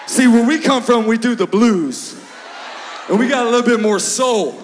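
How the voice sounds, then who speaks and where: A man's voice: very high-pitched, very noisy. An American man performing live comedy on a stage microphone, showy and calling out to the crowd. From United States, California. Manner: showy, drawn-out, shouting to the crowd. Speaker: guest performer. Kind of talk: live stage comedy with sung blues bits. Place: large night-time open-air stage show. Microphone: handheld microphone. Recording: live stage PA, music behind.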